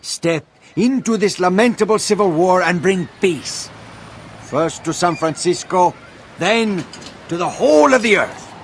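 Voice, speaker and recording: Pompous villain, megalomaniac, lively swooping pitch, faint background noise. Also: male voice, unhurried, vocal fry, heavily acted. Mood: grandiose. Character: grandiose male villain in a battle suit. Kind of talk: video game cutscene acting.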